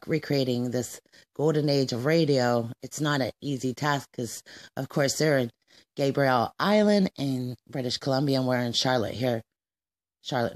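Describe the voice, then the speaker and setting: A woman hosting a radio show in an informal, somewhat hesitant style, often searching for words. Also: a bass voice.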